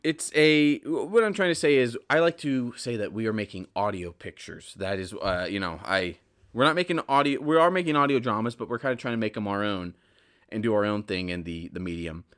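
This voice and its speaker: A man's voice: on the low side, animated, a little fast, fairly bright tone, clear, resonant tone. A man hosting a solo podcast in casual, rambling talk, often correcting himself and drifting into asides. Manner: self-correcting, digressive, conversational. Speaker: podcast host.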